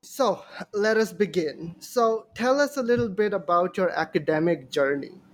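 A man's voice: high-pitched, expressive, normal speed. A man hosting a podcast in a formal, courteous manner, reading a prepared introduction and putting questions to a guest. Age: adult, professor. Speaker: podcast host. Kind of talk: scripted introduction and interview hosting. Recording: noticeable background noise.

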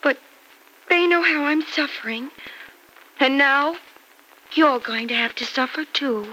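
A woman's voice: sits high, unhurried, sharp tone, a little hiss or hum, low-quality recording. An actress playing a stern, wounded young woman in an old radio soap opera, melodramatic and self-pitying. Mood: anguished, regretful. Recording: old radio drama recording.

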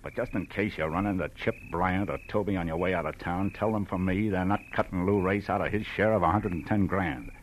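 An actor playing a threatening, bitter small-time crook with an American accent and clipped slangy talk, in the style of 1940s radio crime drama. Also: male voice, sits low, a little fast, hardly any pauses, close to an everyday voice, poor audio. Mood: menacing. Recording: vintage radio recording, faint noise.

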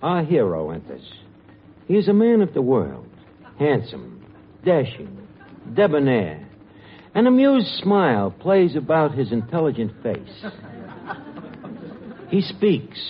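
An actor playing a cocky, half-educated New York bar manager who talks pompously in 1940s radio comedy style. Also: male voice, mid-range voice, huge pitch swings, a faint crowd. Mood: self-important. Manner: pompous malapropisms, drawn-out delivery. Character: cocky, half-educated wise-guy bar manager mangling big words. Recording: muffled old radio recording, noisy, audience.

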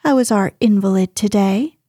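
A woman's voice: medium pitch, normal speed, dark tone, slightly rough, no echo, studio quality, lightly acted. A woman voicing a cheeky, mischievous teenage girl who talks in a playful, teasing way.

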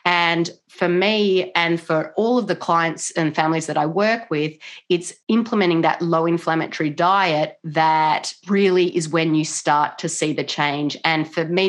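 Australian mum in her middle years, a touch low-voiced, explaining things earnestly in a casual interview. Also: measured pace. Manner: earnest, animated, many "you know" fillers. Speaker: guest, mother and nutrition coach. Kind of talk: interview conversation.

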